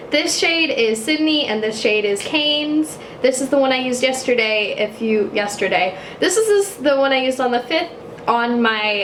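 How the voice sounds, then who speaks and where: A young woman with a low, husky voice chatting casually while doing her makeup, self-mocking and swearing offhandedly. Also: echoey room, slight background noise. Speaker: YouTuber doing a makeup tutorial. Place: apartment room.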